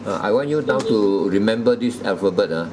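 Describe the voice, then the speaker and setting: A man's voice: on the low side, brisk, background noise. A man conducting a job interview, repeating his questions and tagging sentences with huh and lah. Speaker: job interviewer screening domestic workers.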